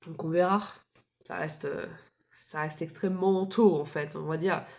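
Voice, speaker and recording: A woman in her thirties chats to her viewers in a relaxed, candid way. Her voice sits a little low for a woman, and she fills her sentences with hesitations and soft laughter. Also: moderate pace. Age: 30s. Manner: chatty and candid, with frequent fillers and soft laughs. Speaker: vlogger. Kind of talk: casual confiding vlog chat.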